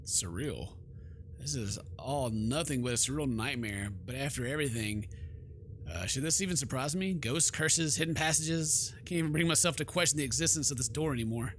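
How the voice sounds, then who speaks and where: A man's voice: medium pitch, fairly quick, a bit bright, a little hiss or hum. A man doing let's play narration of a horror visual novel, with playful slang and joking asides.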